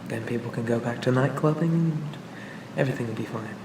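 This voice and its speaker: A man playing a charming, pleasant AI assistant for a police officer in a soothing, gently reassuring ASMR roleplay. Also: mid-range voice, brisk, echoey room, far from the mic, noisy background, natural voice. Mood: calm, reassuring. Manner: gentle, hesitant, reassuring, conversational.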